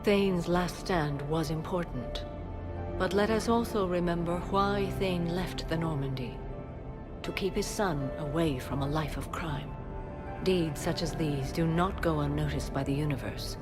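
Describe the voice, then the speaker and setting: An actress playing a dignified, serene alien mystic, speaking formally in a video game cutscene. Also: female voice, low-pitched, measured pace. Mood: solemn, reverent. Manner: stately, formal. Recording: loud music under the voice.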